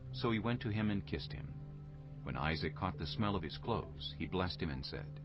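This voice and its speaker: A man's voice: low voice, expressive, normal speed. A man giving scripted narration of an audio Bible story as its narrator. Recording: muffled audio with music behind.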